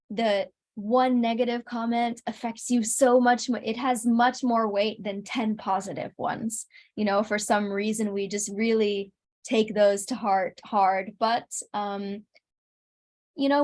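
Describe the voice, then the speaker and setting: A woman chatting casually as an interview guest, earnest and modest in the way she talks. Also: a little high. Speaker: interview guest, singer and content creator.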